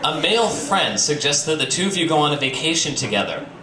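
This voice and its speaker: Animated higher-voiced man reading comedy live. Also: fairly quick, far from the mic. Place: bistro live show with audience. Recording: echoey, noisy live stream from off mic.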